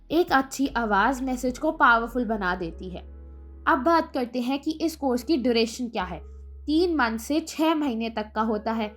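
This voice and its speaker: A woman radio host presenting a scripted career guide, speaking directly to listeners as friends in a motivational way. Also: high voice.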